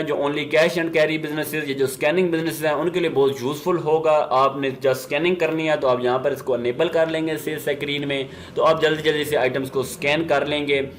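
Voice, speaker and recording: Pakistani man narrating a tutorial, noisy recording. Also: a little high. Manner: explanatory, mixes in English business terms. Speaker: software tutorial presenter. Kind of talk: instructional software demo narration.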